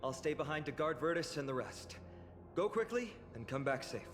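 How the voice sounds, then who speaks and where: An actor playing a noble, earnest young hero in a fantasy game, composed and measured in his speech. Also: on the high side, very animated, in a large hall, music in the background. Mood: earnest, grateful. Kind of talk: polished video game voice acting.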